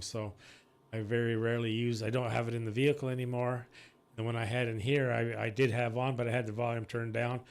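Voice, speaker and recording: An older man in casual amateur radio chat, rambling and repeating himself. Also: mid-range voice.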